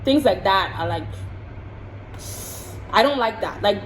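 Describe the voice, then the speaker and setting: A woman's voice: medium pitch, expressive, a little slow. A woman from the Congo answering get-to-know-me questions in a casual vlog, frank and chatty, often repeating words while she thinks. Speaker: vlogger and hair and makeup artist. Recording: roomy echo with faint background hiss.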